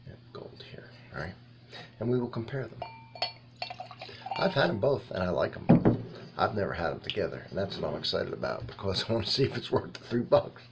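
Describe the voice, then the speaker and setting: A man in his fifties giving a casual, halting tasting review to camera, rambling and thinking aloud in a small indoor room. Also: sits low, very animated, very noisy. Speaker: wine reviewer and host.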